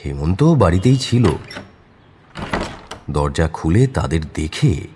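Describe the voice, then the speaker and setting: A man's voice: low-pitched, big pitch swings, slow pace, darkish tone, a little hiss or hum. A man narrating a scripted crime audio story in a dramatic storytelling style.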